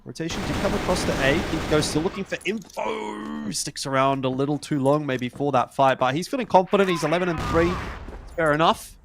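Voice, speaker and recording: A man's voice: a little high, brisk, gravelly, background noise. Thirty-year-old male esports analyst. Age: 30s. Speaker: esports co-caster. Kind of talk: esports color commentary.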